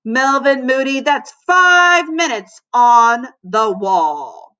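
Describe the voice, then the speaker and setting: A woman's voice: high voice, lively intonation, takes it slowly, bright, forceful tone, clear, resonant tone, dull audio, voice pushed up, full character voice. A woman voicing a stern female lunch monitor, bellowing and scolding her pupils. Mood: outraged, scolding.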